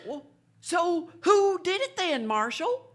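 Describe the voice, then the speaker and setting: A woman's voice: very high-pitched, very animated, slow pace, a bit bright, full character voice. A woman playing a chatty, folksy Old West townsperson in a western radio drama, with colloquial, drawling, gossipy talk. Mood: curious, sympathetic.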